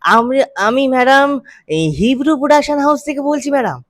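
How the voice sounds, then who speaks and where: A man's voice: extremely high pitch, normal speed, fairly bright tone, no echo, clean studio sound. A man voicing an over-polite, bumbling production-house assistant on a prank call, rambling and hesitant. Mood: eager, polite. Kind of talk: prank phone call improvisation.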